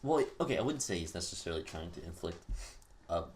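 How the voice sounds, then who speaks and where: A man's voice: low-pitched, sing-song, quick pace, slight background noise. A young man co-hosting a podcast in casual chat, laid-back, agreeing a lot and then pushing back. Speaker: podcast co-host.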